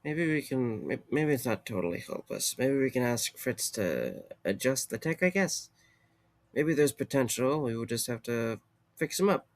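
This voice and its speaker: Audio drama lead , male actor: wry, tired young man, mid-pitched with fry, expressive, rambling and easygoing. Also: moderate pace, lightly acted. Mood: exasperated.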